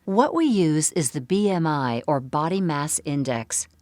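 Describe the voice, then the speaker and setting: A woman giving a scripted, measured explanatory narration for a medical seminar. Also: deep voice, expressive, a little mellow, no echo. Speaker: presenter of a bariatric surgery program.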